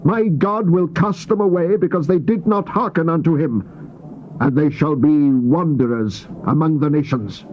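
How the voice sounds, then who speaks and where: Stern male prophet, played by an actor, mid-pitched, solemn declamatory scripture delivery for dramatized Bible, muffled noisy tape. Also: close to an everyday voice. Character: stern male Old Testament prophet proclaiming God's judgment. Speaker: scripture reader. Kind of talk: dramatized King James Bible reading.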